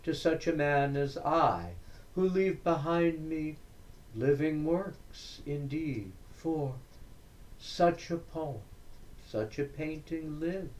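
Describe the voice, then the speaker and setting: A man giving a dramatic recitation of Victorian verse, deliberate and declamatory, breaking the lines into short phrases with pauses. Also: a little high, a little mellow, poor audio. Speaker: reader of poetry.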